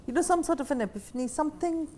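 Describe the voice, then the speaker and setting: A woman's voice: high-pitched, very fast, warm tone, a little hiss or hum. A woman with an Indian accent hosting an interview, asking questions and commenting in a conversational way. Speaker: interviewer, host.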